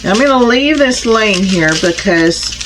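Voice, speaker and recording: A woman hosting a casual crafting unboxing vlog, chatty and homey, slightly raspy from a starting cold. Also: on the high side, lively intonation, a little slow, background noise. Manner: chatty, homey, addresses viewers as y'all. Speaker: crafting channel host.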